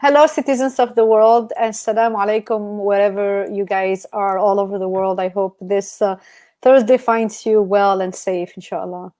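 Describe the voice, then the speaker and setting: A woman's voice: medium pitch, fairly even intonation, normal speed, warm tone. A woman from Algeria, a business coach, answering interview questions in a reflective way with some restarts.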